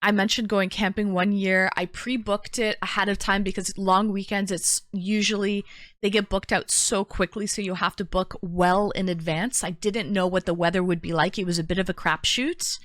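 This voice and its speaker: Canadian lady streamer just chatting w viewers, informal, repeats herself, medium pitch, dry no room echo. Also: steady tone, normal speed, fairly bright tone. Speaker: livestream host. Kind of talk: casual livestream chat, greeting viewers.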